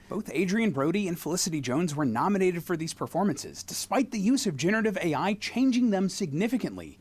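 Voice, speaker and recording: A man narrates a scripted piece in a fairly high voice with lively swings of pitch. He drops wry, sarcastic asides between the facts. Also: slightly rough. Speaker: video essay narrator.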